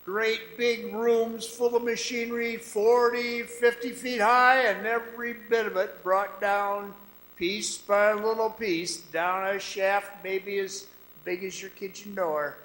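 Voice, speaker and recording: A bright, high-pitched, haunted mine guide acted by a man spins his tale in a radio play. He talks slowly and haltingly, in folksy everyday phrases with ominous pauses. The sound is roomy, like a stage, with faint music behind him. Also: fairly even intonation. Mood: wry, knowing. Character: weary, haunted former copper mine guide telling his story. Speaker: radio drama actor. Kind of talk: radio drama monologue, folksy storytelling. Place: performing arts center stage.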